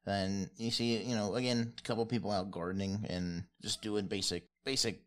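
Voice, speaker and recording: A man's voice: fairly low pitch, steady tone, normal speed. A man acting as game master, narrating a fantasy tabletop game in a casual, rambling, improvised way.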